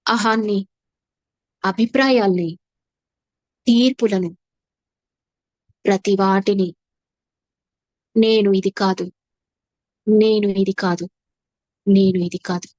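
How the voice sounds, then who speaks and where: Lady doing guided meditation, slow n soothing, repeats phrases, no room echo. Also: mid-range voice, fairly even intonation, a little mellow, highs cut off. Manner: soothing, unhurried, with long pauses and repeated phrases. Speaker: meditation teacher.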